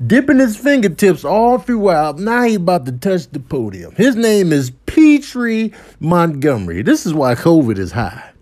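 Animated, fairly high-voiced man. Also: normal speed, few pauses. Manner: wordy, mock-outraged, slangy. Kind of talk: comic voiceover commentary.

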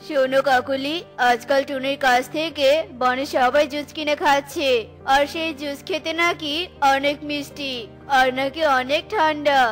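A woman's voice: sits high, close to an everyday voice. A woman voicing a sly, scheming male crow in a children's cartoon, with a theatrical, boastful delivery. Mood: suspicious. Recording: faint music under the voice.

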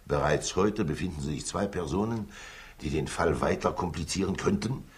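An actor playing a sly, jovial, heavyset older state councillor in a 1960s radio crime drama, avuncular and theatrical, repeating himself, with a gravelly, husky voice. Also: male voice, low-pitched, moderate pace. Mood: assured, soothing.

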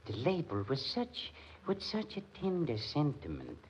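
An actor with a German accent playing a lovesick, deranged musician turned murderer, sinisterly tender and theatrical in 1940s radio style. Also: male voice, fairly high pitch, wildly swooping pitch, a little slow. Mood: gloating. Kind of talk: 1940s radio drama acting. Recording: muffled 1940s radio recording, faint noise.

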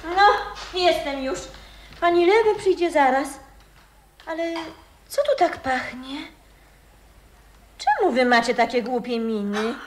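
A brisk, bossy young granddaughter in a vintage radio play. Her voice is extremely high, with lingering gaps between phrases. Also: noisy background. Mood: brisk, scolding. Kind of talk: 1960s radio drama acting.

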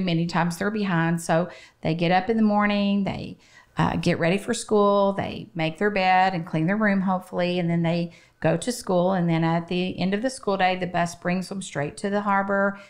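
A middle-aged woman, answering interview questions conversationally as a guest from a children's shelter. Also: fairly low pitch. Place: small podcast studio, indoors. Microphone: close studio microphone on boom arm.

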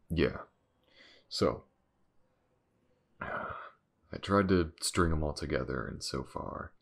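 A man playing a gruff, weary, aloof detective in an audio roleplay, speaking haltingly and informally to the listener in a husky mutter. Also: heavily acted. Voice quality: low, gravelly, husky. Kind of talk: intimate audio roleplay acting, addressed to the listener.

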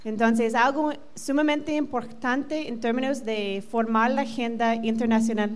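A middle-aged American woman whose first language is English, giving a conference talk in a large hall on a handheld mic, quick with many fillers. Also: on the high side, steady tone. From United States. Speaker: conference presenter. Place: large hotel conference room. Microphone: handheld microphone.

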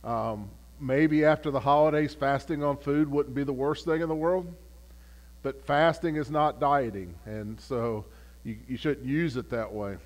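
Pastor, male, phlegmy throat, halting Bible study delivery with fillers. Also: medium pitch. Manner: halting, repeats words, many fillers. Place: church. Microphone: camera.

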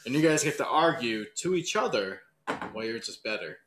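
A man's voice: medium pitch, lively intonation, brisk, noisy background. A man moderating and judging a debate in a casual hosting style.

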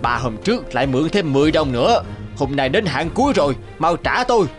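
A man's voice: fairly high pitch, expressive, fast talker, faint music behind, natural voice. A man voicing an angry, bullying money lender in a cartoon, blunt and demanding. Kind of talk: cartoon acting.

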